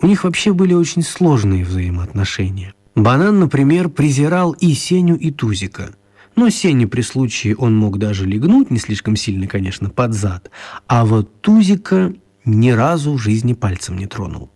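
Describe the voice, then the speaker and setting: A man narrating a children's radio play as a scripted storyteller, with measured, wry phrasing. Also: medium pitch, animated, a little mellow, breathy edge.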